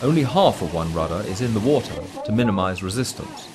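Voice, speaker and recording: A man reading a scripted documentary commentary in a formal, measured way. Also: lowish voice, lively intonation, background noise. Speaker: narrator.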